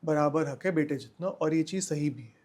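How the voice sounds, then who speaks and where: A man's voice: on the high side, normal speed, a little mellow. A man giving explanatory answers as a legal expert on a podcast, emphatically repeating key points and mixing in English terms.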